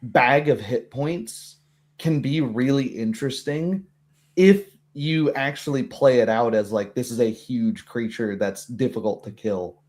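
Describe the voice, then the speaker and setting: A man's voice: medium pitch, unhurried, a little mellow. A man working as a game master, chatting casually on a stream and explaining his rulings in a rambling way.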